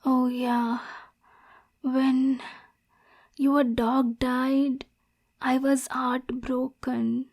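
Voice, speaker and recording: A woman's voice: high voice, level tone, drawn-out words, pauses often, darkish tone, exaggerated voice. A woman playing an obsessive, lovesick demon girl in an ASMR roleplay, clingy and possessive, addressing the listener directly. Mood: tender, sorrowful. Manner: breathy, clingy, swinging between tender and domineering.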